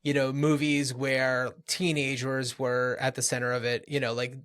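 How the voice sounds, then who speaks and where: A man's voice: medium pitch, flat delivery, normal speed, fairly bright tone. A man co-hosting a podcast, discussing movies casually and thinking aloud in a loose, conversational way. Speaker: podcast co-host.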